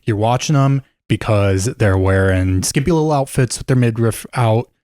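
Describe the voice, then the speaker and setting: A young man, joking around as a podcast co-host, his voice a bit scratchy and hoarse. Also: medium pitch, normal speed, darkish tone, dry recording, studio quality. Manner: playful, jokey, campy. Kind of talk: casual chat.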